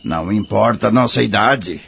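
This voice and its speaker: An actor playing a patient, devout old man with a Brazilian accent, counseling in a gentle, measured way in a radio drama. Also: lowish voice, creaky, a little hiss or hum. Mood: earnest. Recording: dull lo-fi audio drama recording.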